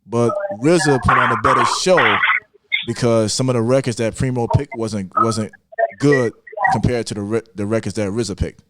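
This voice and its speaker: A man's voice: medium pitch, moderate pace, heavy background noise. A man casually debating music as a podcast guest, insistent and repeating himself to press his point.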